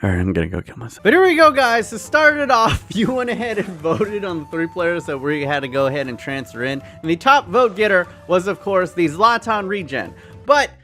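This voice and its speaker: A man's voice: high-pitched, a little fast. Hyper jokey guy doing game commentary, voice bouncing up n down, faint music behind. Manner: excitable, jokey, self-mocking. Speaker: gaming video host. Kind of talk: casual gaming commentary.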